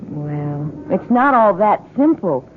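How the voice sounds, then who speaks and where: An actress playing an earnest, emotional young American college student in a 1970s radio drama. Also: female voice, medium pitch, big pitch swings, takes it slowly, phone-quality sound, slight background noise. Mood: reassuring. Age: young adult. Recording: thin, band-limited 1970s radio recording.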